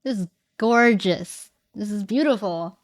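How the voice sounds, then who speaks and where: A woman's voice: medium pitch, unhurried. A woman chatting casually on a live stream and reading out chat messages, her voice worn out and fading. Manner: chatty, reads chat aloud. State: losing her voice.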